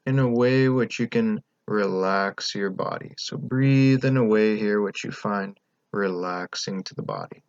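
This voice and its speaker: Low-voiced man guiding a calm meditation. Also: gravelly, no echo, dull audio. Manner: calm, gentle, unhurried instruction with pauses. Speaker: meditation guide. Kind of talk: guided meditation, unscripted.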